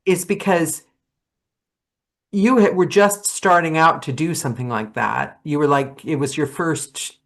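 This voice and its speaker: A woman hosts a relaxed conversation over a video call, working through her thoughts out loud. Her voice sits very low for a woman. The talk rambles in a chatty, friendly way. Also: measured pace. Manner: chatty, searching, thinks aloud. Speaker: podcast host and audiobook narrator. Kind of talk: casual conversational interview.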